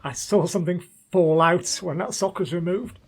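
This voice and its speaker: A man playing an exhausted, frostbitten sailor in a radio play, loyal but stubborn, with a strained, pleading, husky voice. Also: sits high, moderate pace. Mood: pleading, upset.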